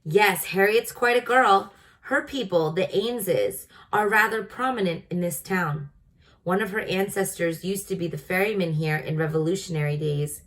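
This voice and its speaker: A woman's voice: on the low side, moderate pace, a bit bright, close to an everyday voice. A woman voicing a friendly, talkative male storekeeper in a storybook, making folksy small talk. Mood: thoughtful, gossipy.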